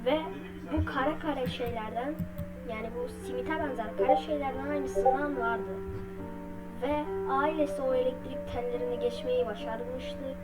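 High-pitched woman storyteller over loud music. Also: rough recording. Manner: halting, broken phrases with repeated filler words. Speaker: video creator narrating a horror theory. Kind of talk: unscripted storytelling commentary to viewers.

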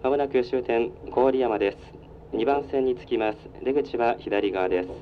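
A man working as a train conductor makes formal, polite onboard announcements over a public address speaker. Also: moderate pace. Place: inside a moving train. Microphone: recorded from the carriage speaker. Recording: muffled train PA, rumbling vehicle noise. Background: train running noise.

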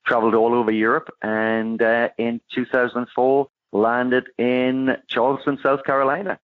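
A man's voice: mid-range voice, little pitch movement, normal speed, no echo. A man from northeast England with a Geordie accent, a chef guest chatting casually in an interview, laughing easily with plenty of "uh" fillers. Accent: Geordie English. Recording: tinny phone-line sound.

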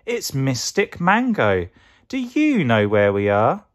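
A man's voice: medium pitch, wildly swooping pitch, takes it slowly, voice pushed up. A man voicing a plucky, earnest potato hero in a children's storybook. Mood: surprised.

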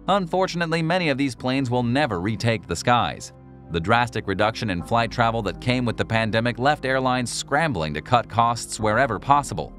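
A man with a fairly low voice narrates a documentary script at an even, measured pace. Faint music plays under the voice-over.

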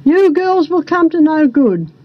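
A woman's voice: high-pitched, very animated, measured pace, lo-fi sound. A woman voicing a disapproving male storybook crow who caws and admonishes. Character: old male crow, disapproving, scolding vain young birds. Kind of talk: storybook character voice.

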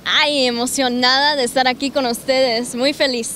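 A woman's voice: high-pitched, steady tone, normal speed, pushed and bright, a little hiss or hum. A young woman from Los Angeles, a singer, answering interview questions eagerly outdoors in a park, speaking into a handheld mic. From Los Angeles, United States. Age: young adult. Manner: bubbly, eager, slips in English words. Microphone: handheld mic held by interviewer.

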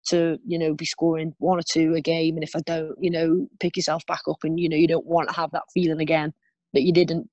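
A woman's voice: sits low, darkish tone, muffled recording. Woman, 20s, sports interviewee, informal and wandering delivery, dry sound with little room echo. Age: twenties. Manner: rambling, peppered with "you know" and "kind of". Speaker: interviewee, footballer. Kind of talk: casual chat. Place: remote video call.

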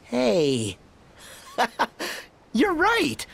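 A man's voice: very high-pitched, huge pitch swings, very slow, creaky, a bit bright, slight background noise, heavily acted. A man playing an earnest, hot-headed teenage boy hero in a video game. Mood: eager. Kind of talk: video game cutscene acting.

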